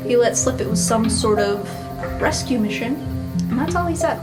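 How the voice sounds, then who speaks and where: A woman game master narrating an improvised tabletop story in a measured, explanatory way. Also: on the high side, expressive. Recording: music bed behind voice. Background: background music.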